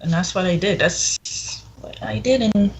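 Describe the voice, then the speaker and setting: A woman's voice: mid-range voice, measured pace, creaky. A woman in her twenties chatting candidly and frankly as an interview guest, often giggly. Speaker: adult film performer guest being interviewed. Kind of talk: casual, candid interview chat. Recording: dull, muffled sound with background noise.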